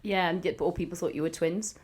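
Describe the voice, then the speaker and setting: A middle-aged woman from Cardiff with a Welsh accent, a guest telling family stories in a measured, steady way. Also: lowish voice, husky. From Cardiff, Wales. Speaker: guest, primary school teacher. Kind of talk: casual family interview.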